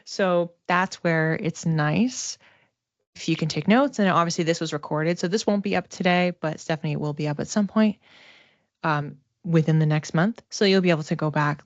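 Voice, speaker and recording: A dry, slightly dull lo-fi recording of a woman with a lowish voice. She speaks casually as a psychic reader, improvising and thinking aloud, often pausing and hedging as she goes. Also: vocal fry, no room sound. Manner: tentative, conversational, thinking aloud with pauses. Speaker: psychic reader giving live online readings. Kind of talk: casual live reading, improvised explanation.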